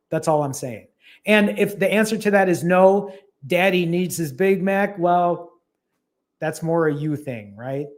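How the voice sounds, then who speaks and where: A man hosting a live stream as a fasting coach, chatting casually, reading viewer comments aloud and encouraging his audience directly. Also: fairly high pitch. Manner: talks straight to viewers, upbeat and encouraging.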